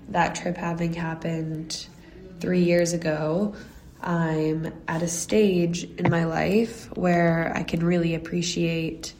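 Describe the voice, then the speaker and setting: Young canadian woman, talks slow and thoughtful, low mellow voice. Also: gravelly, a little hiss or hum. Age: early 20s. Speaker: guest. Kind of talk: telling a personal story.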